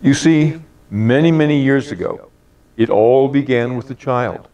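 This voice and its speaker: An older man, in his late sixties or beyond, tells a personal holiday story in a measured, deliberate and expressive way, as if from a prepared script. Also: mid-range voice, distant mic, dull audio. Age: late 60s or older. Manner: measured, deliberate, expressive delivery with drawn-out phrases.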